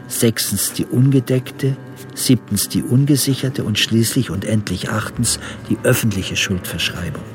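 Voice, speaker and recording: A man's voice: fairly low pitch, close to an everyday voice. An actor playing a formal, pedantic and resigned man nearing eighty in a reflective radio play monologue. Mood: pedantic, deliberate. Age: 70s.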